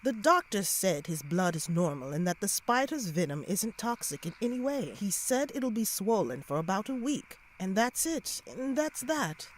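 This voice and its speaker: A woman's voice: mid-range voice, very animated, normal speed, hardly any pauses, a little hiss or hum, close to an everyday voice, low-quality recording. A woman playing a worried, caring aunt who talks in an earnest, fretful way in a fan-dubbed motion comic. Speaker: Aunt May.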